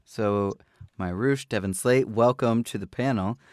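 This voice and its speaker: A man's voice: on the low side, measured pace, darkish tone, clean tone, no echo, clean studio sound. A man who works as an audiobook narrator and panel host, speaking expressively.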